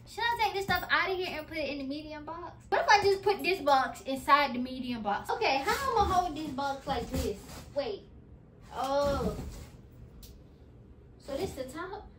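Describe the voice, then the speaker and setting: Young woman, high-pitched, casual vlog chatter, packing in a nursery, camera-mic sound. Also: slight background noise. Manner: chatty, talks while packing and folding. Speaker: vlogger. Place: home nursery, indoors. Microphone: camera set on the floor.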